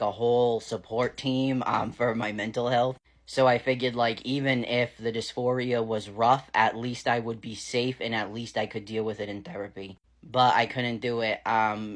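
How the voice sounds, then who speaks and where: A man in his thirties with a slightly raspy, gravelly voice, talking candidly in a casual vlog. He speaks frankly, with lots of fillers and the odd curse. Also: mid-range voice. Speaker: vlogger.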